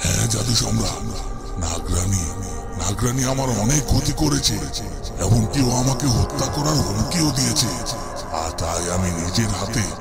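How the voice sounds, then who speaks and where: A gravelly cobra villain from a cartoon fairy tale. He is exiled, vengeful and hungry for power, speaking with slow menace. Loud music plays behind him. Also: lowish voice, lively intonation. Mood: scheming, vengeful. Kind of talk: dramatic cartoon villain acting.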